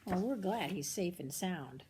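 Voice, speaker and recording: An older woman reading a children's picture book aloud, expressive and often hushed, pausing for asides about the pictures. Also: lowish voice, a little hiss or hum.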